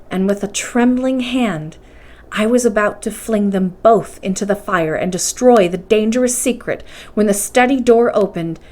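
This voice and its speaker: A woman reading a classic adventure story aloud as a storytime host, with dramatic emphasis and deliberate pauses. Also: mid-range voice, darkish tone. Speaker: library storytime host and reader. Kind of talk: expressive bedtime audiobook-style reading of a classic adventure novel.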